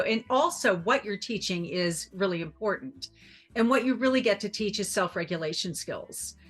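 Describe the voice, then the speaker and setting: A middle-aged woman appearing as a parenting expert on a TV talk show, explaining her advice calmly and clearly. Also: mid-range voice. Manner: calm, explanatory, warm advice-giving.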